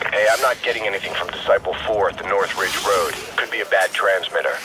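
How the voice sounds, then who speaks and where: An enemy radio operator in a military game. His deep voice comes through a noisy radio filter, brisk and by the book. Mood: businesslike. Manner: clipped, procedural.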